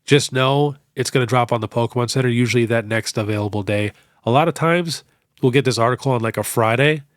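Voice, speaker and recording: A young man with a stuffed-up nose from a cold, hosting a casual question-and-answer show in a relaxed, chatty way full of fillers. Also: mid-range voice, fairly quick, no room sound, clean studio sound. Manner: relaxed, chatty, many fillers like "you know". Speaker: host. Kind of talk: casual Q&A on card collecting.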